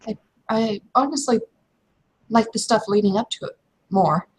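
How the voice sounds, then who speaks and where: A woman playing a proud, blunt young werewolf shield maiden in a tabletop game, with a husky voice and frank, halting phrasing. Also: fairly high pitch, slight background noise, natural voice, poor audio. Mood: blunt, let down. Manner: frank, hesitant phrasing but unyielding.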